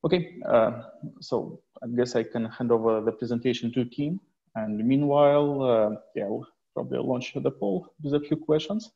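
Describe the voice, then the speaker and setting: A man hosting an online tech meetup over a video call, talking in a halting way with many filler sounds. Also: medium pitch, creaky, dark tone. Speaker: meetup organizer. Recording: dull lo-fi video call audio.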